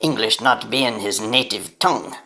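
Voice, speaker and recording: An actor playing a long-suffering, officious Victorian railway attendant, formal, deferential and dry, in a period audio drama. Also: male voice, on the low side, normal speed, vocal fry, sharp tone.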